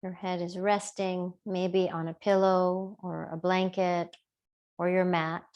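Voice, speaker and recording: Slow, gentle woman guiding meditation. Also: on the low side, flat delivery, highs cut off. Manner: gentle, unhurried, soothing, with long pauses. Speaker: yoga and body awareness teacher. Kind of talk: guided body scan meditation.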